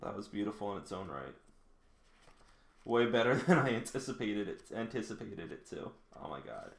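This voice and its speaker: A man doing a live read-along, reacting to the story like an excited, gushing fan. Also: on the low side, moderate pace, vocal fry. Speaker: manga read-along host. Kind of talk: live reading with reaction commentary. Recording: roomy, echoing indoor sound.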